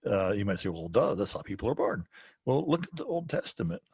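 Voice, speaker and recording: Low husky-voiced man musing haltingly. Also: very animated, darkish tone, no echo, clean studio sound. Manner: halting, full of restarts and "uh". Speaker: podcast co-host. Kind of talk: casual theology discussion.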